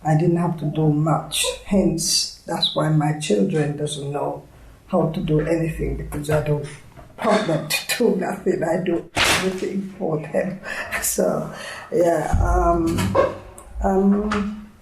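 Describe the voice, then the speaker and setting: Slow-talking older Jamaican woman, gravelly voice. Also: lowish voice, fairly even intonation, a little hiss or hum. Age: 70s. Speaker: oral history interviewee. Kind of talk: reminiscing in an interview. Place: indoors at an arts centre. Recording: echoey room.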